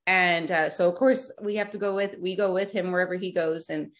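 A woman's voice: on the low side, steady tone, a little fast. A woman in her thirties from South Texas, a podcast guest talking in a chatty way with many fillers and restarts. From Rio Grande Valley, South Texas. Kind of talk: casual remote interview.

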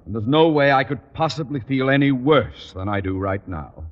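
An actor playing a pompous, long-winded male high school principal in a 1950s radio sitcom. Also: lively intonation, moderate pace, natural voice. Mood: blustering. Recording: old radio broadcast, muffled with reverb.

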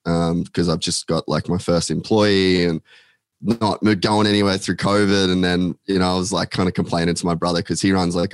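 A man's voice: low-pitched, dry recording. A man talking casually as a podcast guest, rambling with many fillers and casual swearing. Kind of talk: casual chat.

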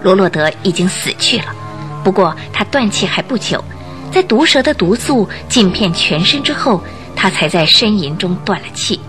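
A woman tells a detective story for a radio drama over clearly heard music. Her medium-pitched voice moves widely up and down, with expressive, suspenseful phrasing. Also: moderate pace. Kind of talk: dramatic scripted storytelling narration. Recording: music under the voice.

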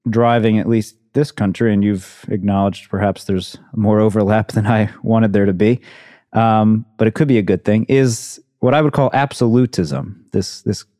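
A man's voice: lowish voice, fairly even intonation, normal speed, warm tone, no echo, clean studio sound. A man in his thirties hosting a conversation, speaking in a thoughtful, hesitant way and searching for words. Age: 30s. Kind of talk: casual conversation.

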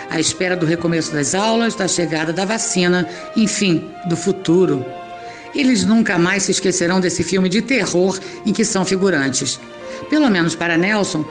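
A woman with a Brazilian accent reading scripted narration as the narrator of an audio drama. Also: mid-range voice.

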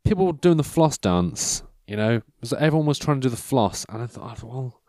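A man's voice: mid-range voice, expressive, brisk, warm tone, no echo. A man in his mid-thirties chatting casually in a meandering, self-interrupting way, losing his train of thought, with dry self-mocking humour. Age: 30s. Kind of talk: rambling casual monologue.

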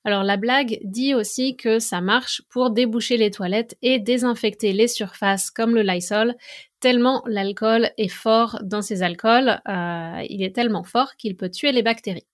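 A woman's voice: on the high side, a little slow. A woman from France giving an informal educational monologue as a teacher and host, explaining in an orderly way with examples. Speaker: French language and culture teacher, podcast host.

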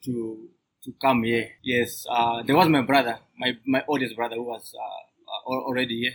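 Guy in his 30s, bright voice, keeps restarting, uh uh, guest on a podcast outside. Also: medium pitch, steady tone, moderate pace, creaky, a little hiss or hum, poor audio. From Zimbabwe. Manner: hesitant, many restarts and fillers. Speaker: guest, coffee seller. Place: outdoors on a sidewalk by a coffee shop.

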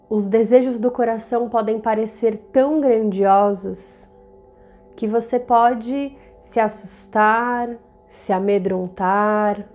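A woman with a Brazilian accent narrating a guided meditation in a soothing, deliberate manner, in short measured phrases. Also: on the high side, a little mellow. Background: meditative background music.

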